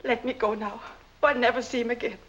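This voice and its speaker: An actress playing a desperate, captive young wife in a 1940s melodrama film, pleading earnestly. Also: female voice, fairly high pitch, fairly even intonation, fast talker, fairly bright tone, dull audio. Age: young adult.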